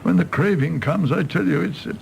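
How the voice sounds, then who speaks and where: A man's voice: fairly high pitch, a little fast, gravelly, a little mellow, a little hiss or hum. A man with an English accent playing a rueful, tippling elderly doctor in a radio drama, wry and rambling. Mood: confiding. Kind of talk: stage play performed as broadcast drama.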